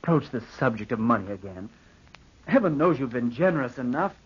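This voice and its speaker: Husky, resentful young nephew. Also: male voice, medium pitch, animated. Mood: nervous, pleading. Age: young adult. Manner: melodramatic, self-pitying, halting. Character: spendthrift young nephew, whining, resentful, secretly scheming. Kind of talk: 1940s radio acting with first-person narration. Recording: muffled vintage radio drama recording.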